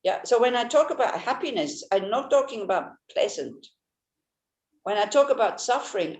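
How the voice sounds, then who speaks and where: A woman whose first language is Swiss German gives a Buddhist teaching in a gentle manner, often restarting and searching for words. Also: medium pitch. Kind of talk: Dharma teaching talk. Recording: echoey room.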